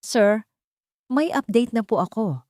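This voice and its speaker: A woman voicing a billionaire's calm male assistant in an audio drama, composed, deferential and businesslike. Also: a little high, slow, no echo, clean studio sound, voice pushed up.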